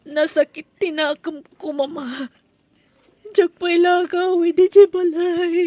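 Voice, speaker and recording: A woman acting a betrayed young Ilocano wife in a radio-style drama. Her voice is extremely high and drawn out, plaintive, proud and bitter. The sound is close and dry. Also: flat delivery, takes it slowly, a little mellow, no room sound. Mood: hurt, tearful. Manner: emotional, plaintive.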